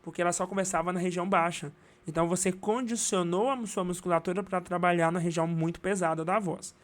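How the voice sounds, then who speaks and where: A man with a Brazilian Portuguese accent teaching singing in a live online class, talking casually with many restarts and quick checks. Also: high voice, fairly even intonation. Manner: casual and rambling, many restarts and tag questions.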